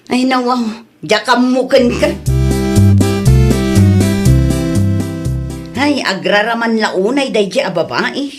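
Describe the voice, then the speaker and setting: A woman's voice: on the high side, expressive, moderate pace, over loud music, close to an everyday voice. A woman playing a plain-spoken, reproving village woman in a comedy audio drama, with a Filipino accent and a chiding manner. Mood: disapproving.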